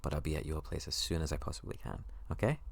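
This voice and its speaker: A man acts out a young college best friend for an ASMR roleplay, with a very deep voice full of fry. He is excitable, affectionate and playful, gushing and rambling in a close, dry sound. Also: quick pace, dry recording, exaggerated voice. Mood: excited, grateful.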